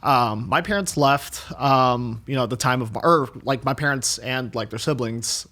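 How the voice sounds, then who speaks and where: A man's voice: medium pitch, moderate pace. Rambling guy chatting. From Chicago, USA. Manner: rambling, lots of "like" and "um", animated. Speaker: guest chef. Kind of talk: casual interview chat.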